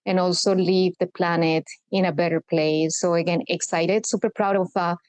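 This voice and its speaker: A woman, speaking as a guest in a podcast interview and explaining things in an earnest, measured way. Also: lowish voice, steady tone, darkish tone, dry recording. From Colombia. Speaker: guest, corporate sustainability executive.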